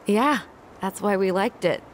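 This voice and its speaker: A woman's voice: mid-range voice, measured pace, slight background noise, close to an everyday voice. An actress playing a dry, guarded, teasing young American woman in naturalistic video game dialogue. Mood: reminiscing. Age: young adult.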